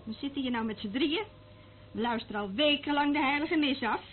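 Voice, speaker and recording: A woman's voice: high-pitched, a little fast, natural voice. An actress playing a brash, flippant woman who works as an eavesdropper for a military junta in a Dutch radio drama, blunt and mocking. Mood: matter-of-fact. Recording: tinny, narrow-band old radio recording, faint noise.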